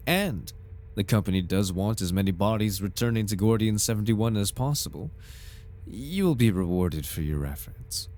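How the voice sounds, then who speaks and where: A man playing a smooth-talking, flirtatious and faintly sinister corporate advisor in a formal, theatrical ASMR roleplay. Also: on the low side, darkish tone. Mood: slick reassurance. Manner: theatrical, formal, silky salesman politeness. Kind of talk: ASMR roleplay, scripted in-character monologue.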